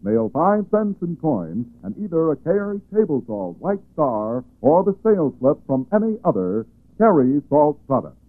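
Animated male vintage radio pitchman. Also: moderate pace. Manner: polished, emphatic salesmanship. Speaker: radio announcer and sponsor pitchman. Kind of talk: 1940s radio advertising announcing. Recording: tinny, band-limited 1940s radio recording.

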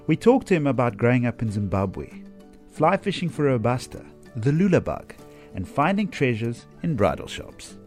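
Male podcast host, animated delivery, punchy intro, faint music bed. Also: medium pitch, normal speed. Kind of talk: scripted intro and interview.

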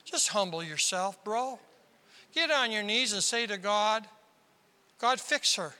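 A man from South Dakota preaching a sermon as pastor, with a squeaky tenor voice and emphatic delivery that climbs into sudden loud bursts. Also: expressive, a little slow, a little hiss or hum. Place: large church hall. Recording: reverberant hall.

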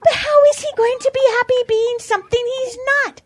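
A woman's voice: very high voice, fairly even intonation, brisk. A woman voicing a sensible, pious female farm animal who soothes and moralizes earnestly in an audio drama. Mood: earnest, reasoning.

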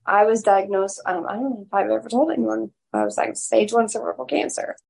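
A woman guest with a raspy voice, speaking loudly and frankly in a candid interview. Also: a little high, steady tone, fast. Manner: loud, frank and open.

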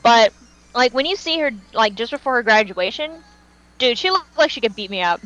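A woman's voice: fairly high pitch, lively intonation, normal speed, fairly bright tone, no room sound, highs cut off, soft background music. A woman co-hosting a fan podcast, chatting casually in a slangy, emphatic, opinionated way. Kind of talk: casual chat.

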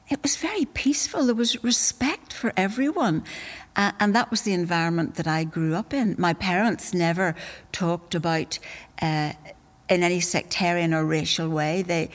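A woman's voice: lowish voice, big-room sound. An older woman from North Belfast with a Northern Irish accent, recalling her childhood in an earnest, reflective way. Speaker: interviewee.

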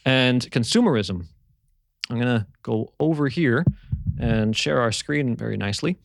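A man's voice: medium pitch, animated, normal speed, vocal fry, no room sound. Rambling man hosting a podcast. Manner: rambling, repeats words while searching for them. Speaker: podcast host. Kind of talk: casual chat. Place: home in eastern Germany.

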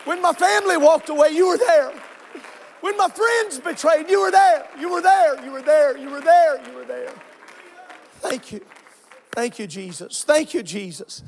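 A man with a very high voice preaches in a church, winded and fervent, his words running in chanted repeats that rise to shouts and sink to hushed murmurs. A congregation can be faintly heard behind him. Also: expressive, normal speed, a faint crowd, slight background noise. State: out of breath. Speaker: pastor. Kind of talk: Pentecostal preaching and prayer.

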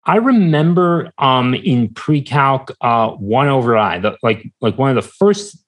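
A man speaking as a guest in a casual interview, hesitant and halting as he searches for his words. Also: medium pitch, animated. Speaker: podcast guest, entrepreneur.

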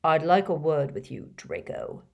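A woman voicing a cold, menacing male schoolmaster who speaks low, quiet and curt. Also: very animated, unhurried, gravelly, exaggerated voice.

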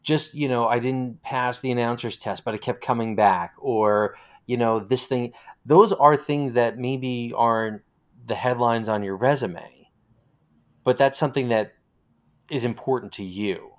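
A man's voice: mid-range voice, unhurried. An American man hosting a conversational interview, talking in an encouraging, affirming and discursive way. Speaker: interview host.

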